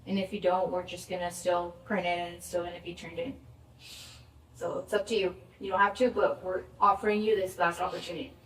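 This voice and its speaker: A woman's voice: on the low side, level tone, brisk. A woman police officer giving firm, procedural instructions with growing impatience. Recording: echoey, distant, with noise.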